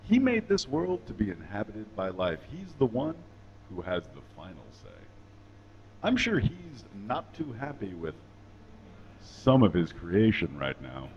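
Man playing a slow, preachy, folksy puppet. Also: fairly low pitch, huge pitch swings, highs cut off, slight background noise. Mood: reassuring. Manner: preachy, deliberate, drawn-out phrasing. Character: pious, folksy, patronising man puppet, reassuring teacher. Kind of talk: slow mock-sermon in a comedy puppet show. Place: small indoor stage. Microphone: handheld microphone.

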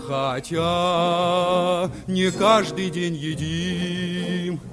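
Slow-singing old camel driver. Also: male voice, high-pitched, fairly even intonation, music in the background. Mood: cheerful, singing. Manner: singing, drawn-out. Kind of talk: theatrical character song in radio play.

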